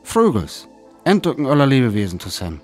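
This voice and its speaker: An actor with a North Frisian accent playing a weary lone survivor of an invasion in a radio play, telling it in sparse, dramatic phrases. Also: male voice, mid-range voice, huge pitch swings, brisk, darkish tone, faint music behind. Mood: startled, tense. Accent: North Frisian (Föhr).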